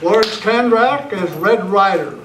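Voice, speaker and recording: Noisy echoey room w/ crowd, high-voiced guy doing old time radio announcer credits. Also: animated, a little slow. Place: club meeting room. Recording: echoey distant room, loud noise and crowd. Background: audience noise.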